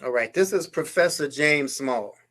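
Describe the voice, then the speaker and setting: A man's voice: medium pitch, animated, moderate pace, a bit bright. A man hosting a history show, reading a biography aloud and breaking off into incredulous, mocking commentary on clips. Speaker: show host.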